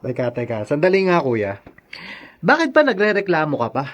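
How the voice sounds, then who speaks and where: Cheeky, slightly high-voiced younger brother. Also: male voice, wildly swooping pitch, normal speed, fairly bright tone, highs cut off, exaggerated voice. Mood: needling. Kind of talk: radio drama acting.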